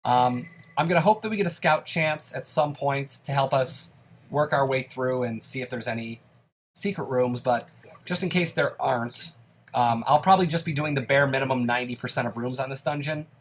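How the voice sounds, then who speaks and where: A man's voice: mid-range voice, normal speed, a little hiss or hum. A young man giving casual, self-deprecating play-by-play commentary on a dungeon game while a bit sick. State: slightly sick, occasional coughs. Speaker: gaming YouTuber.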